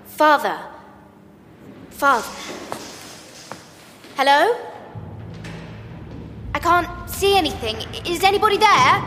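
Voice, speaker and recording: An actress playing a stubborn young daughter in an audio drama. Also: female voice, sits high, animated, takes it slowly, long pauses, pushed and bright, in a large hall, a little hiss or hum, heavily acted. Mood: frightened, searching.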